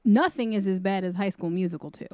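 Female podcast co-host, mid-pitched, direct and candid, dry mic. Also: fast, darkish tone, no echo. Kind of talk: casual movie review chat.

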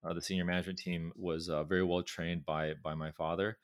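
A man's voice: low voice, flat delivery, brisk, dry recording. A middle-aged man from Southern California with a Californian accent, speaking as a company chairman in a conversational interview, often repeating words as he gathers his thoughts. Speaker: pharmaceutical company chairman, guest.

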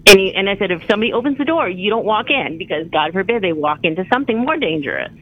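A woman's voice: mid-range voice, animated, rapid-fire, muffled recording. A middle-aged woman calling in to a talk show, chatting casually. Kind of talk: casual conversation.

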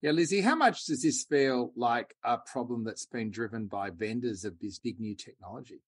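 Male host, husky, mid-pitched, moderator asking questions, dry mic. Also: lively intonation, moderate pace, no echo. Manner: prompting, questioning. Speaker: podcast host. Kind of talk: moderating a panel discussion.

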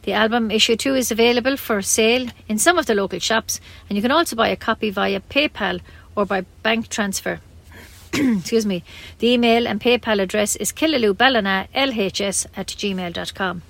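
A woman's voice: a little high, normal speed, gravelly, fairly bright tone. A woman with an Irish accent reading scripted local news as a community radio newsreader.

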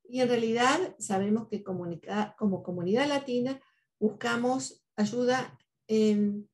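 A woman's voice: on the high side, measured pace. A woman whose first language is Spanish, speaking earnestly in a reflective podcast conversation, often adding "¿no?" and "eh". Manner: earnest, speaks with many "¿no?" tags and "eh" fillers.

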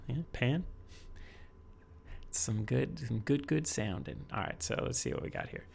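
A man talks in a soft, unhurried way on a chill music stream, drifting through fillers and half-finished thoughts. His voice carries a heavy gravelly fry, and a faint hiss sits under the recording. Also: wildly swooping pitch. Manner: soft, low-key, rambling with many fillers. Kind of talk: relaxed live-stream chat while making music.

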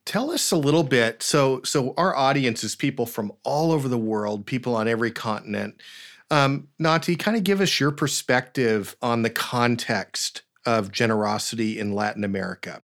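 A man's voice: medium pitch, normal speed, a bit bright. Enthusiastic man as podcast host on a video call. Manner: upbeat, enthusiastic, with fillers. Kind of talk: conversational interview.

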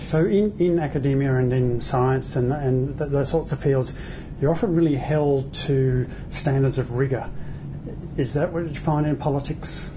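An interviewer poses probing questions in a relaxed, conversational way. A steady low rumble sits under the voice throughout. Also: moderate pace.

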